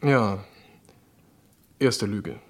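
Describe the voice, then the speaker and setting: An actor playing a polite, uneasy doctor in a German radio crime drama, speaking formally, with deference and hesitation. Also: male voice, fairly low pitch, animated, drawn-out words, full character voice. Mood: uneasy, confessional. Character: polite, uneasy male doctor confessing a lie.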